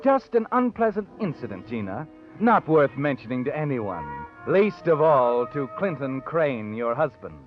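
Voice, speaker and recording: Sinister old-time radio suspense narrator. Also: male voice, medium pitch, big pitch swings, unhurried. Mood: taunting, ominous. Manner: knowing, taunting, dramatic. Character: sinister omniscient male narrator needling a guilty woman. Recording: dull old radio recording, music behind.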